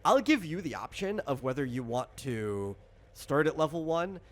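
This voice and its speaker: A man's voice: a little high, very animated, moderate pace, a little hiss or hum. A man hosting and explaining a game in casual chat, thinking aloud in a rambling way. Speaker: game designer and host.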